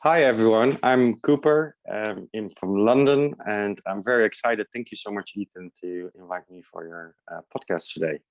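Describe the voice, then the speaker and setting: A man's voice: fairly low pitch, normal speed, few pauses, rough recording. A man from the Netherlands, a podcast guest answering in a friendly, hesitant way with many fillers. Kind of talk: casual interview answers.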